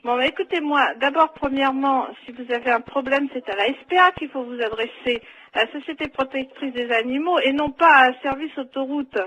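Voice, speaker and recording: A woman with a very high, sharp, forceful voice talks on a service call. She sounds incredulous and indignant, reasoning and remonstrating in conversational phrases. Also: brisk, rough recording. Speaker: motorway service phone operator. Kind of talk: phone conversation.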